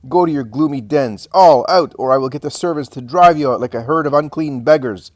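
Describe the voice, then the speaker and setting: A man's voice: mid-range voice, moderate pace, no room sound, clean studio sound, lightly acted. A man voicing a fierce, defiant woman of imperious faith, commanding and thundering, in a dramatic story reading. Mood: wrathful.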